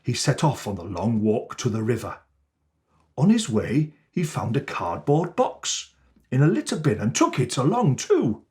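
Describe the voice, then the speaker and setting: A man reading a children's storybook as Father Christmas, with theatrical, deliberate and expressive phrasing. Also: medium pitch.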